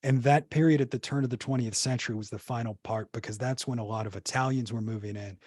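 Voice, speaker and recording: A man's voice: medium pitch, fairly even intonation, fast, darkish tone, slightly rough, no echo. A man, a podcast guest, telling history in a casual, rambling way full of fillers and restarts. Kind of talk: casual conversational storytelling.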